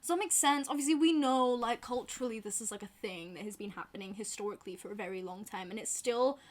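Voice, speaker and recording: A young woman with a softened Glasgow accent talks casually to camera, her voice a little higher than most. She rambles, with plenty of fillers and self-deprecating asides. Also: quick pace. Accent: softened Glaswegian Scottish. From Scotland. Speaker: book vlogger.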